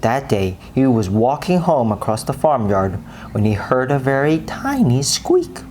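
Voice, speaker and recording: Very low-voiced woman reading a bedtime story. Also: big pitch swings, a little mellow, slight background noise. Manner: dramatic, with deliberate pauses and emphasis. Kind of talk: expressive children's bedtime story narration.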